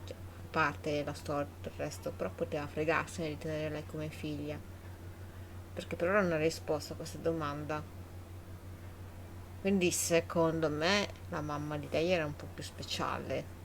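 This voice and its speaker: A woman's voice: low voice, fairly even intonation, a little fast, slight background noise, rough recording. An Italian woman giving casual live commentary on a game, informal and thinking aloud, often repeating her words. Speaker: game streamer. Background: game music.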